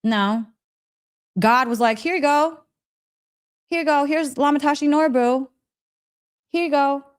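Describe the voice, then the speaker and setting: A woman with a fairly high voice talks as a spiritual teacher on a casual live stream. She is gushing and emphatic, often repeating phrases for weight. She speaks straight to her listeners, checking in with little questions as she goes. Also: measured pace, pauses often. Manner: chatty, direct address with frequent check-in questions. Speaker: host of a live story session, spiritual teacher.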